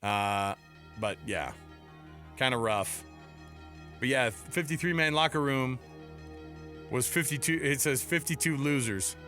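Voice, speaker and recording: A man's voice: medium pitch, a little slow, long pauses, bright, forceful tone, faint music behind. An American man co-hosting a sports radio show, chatting casually and jokingly in colloquial style from a studio.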